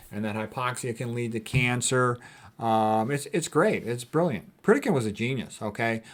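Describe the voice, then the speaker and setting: A man's voice: medium pitch, a bit bright. Blunt, opinionated man lecturing informally. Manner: colloquial, emphatic, repeats points. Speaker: physician giving a lecture. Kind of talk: informal lecture commentary on quotes.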